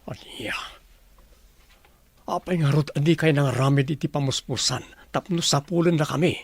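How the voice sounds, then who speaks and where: Casting: earnest middle-aged doctor father, creaky husky voice, emotional radio drama delivery. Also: on the high side, moderate pace, no room sound. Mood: shocked.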